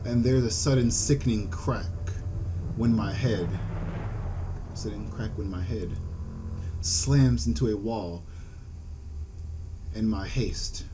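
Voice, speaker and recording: A man hosting a visual novel let's play, reading aloud and commenting in a halting way with repeated phrases, over game music. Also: fairly low pitch, background noise. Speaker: gaming video host. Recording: music behind the voice.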